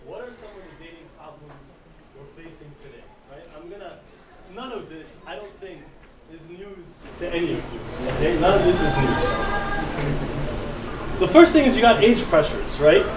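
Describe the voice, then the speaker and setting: A man's voice: sits high, lively intonation, moderate pace. A man born and raised in the US gives a casual talk to a live audience, speaking conversationally and tagging his lines with a rhetorical "right?". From United States. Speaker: youth speaker. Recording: echoey room recording from a distance with air-conditioning hum.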